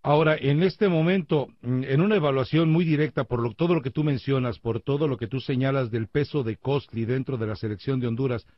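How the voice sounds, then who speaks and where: A man's voice: mid-range voice, no room sound, dull audio. Composed male radio sports host. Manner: long, formal, composed questions. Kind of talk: radio interview questions.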